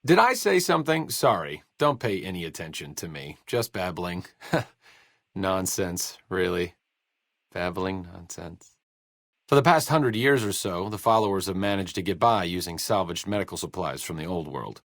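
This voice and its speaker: A wry, low-voiced bookish doctor. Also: male voice, lively intonation, moderate pace, fairly bright tone, no echo, full character voice. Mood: sheepish. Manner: sardonic, erudite, dry understatement. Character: wry, bookish, self-deprecating male doctor and researcher. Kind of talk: video game character dialogue.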